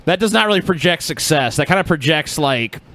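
Bright, higher-pitched man in casual chat. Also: lively intonation, brisk, dry recording. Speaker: podcast co-host.

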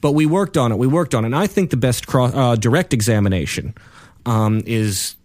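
A man's voice: medium pitch, brisk, darkish tone, no room sound. A man with a Midwestern accent, a defense lawyer talking casually in an interview, blunt and profane, acting out what people said. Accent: Midwestern American. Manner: blunt, profane storyteller who acts out quotes.

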